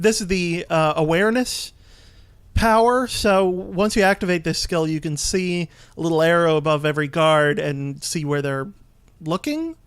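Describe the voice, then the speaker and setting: A man giving casual, explanatory commentary while playing a video game, a bit halting as he goes. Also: on the high side, clear, resonant tone, no room sound. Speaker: let's play host and player.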